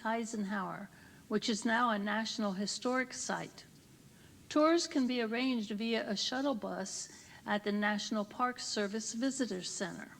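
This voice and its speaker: A woman's voice: a little high, a bit bright. A woman reading scripted tour directions in a formal, precise manner. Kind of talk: scripted instructional narration.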